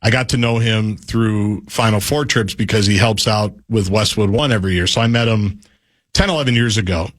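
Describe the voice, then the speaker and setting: A man's voice: fairly low pitch, moderate pace. A male radio host in casual conversation, asking his guest questions with long, chatty setups.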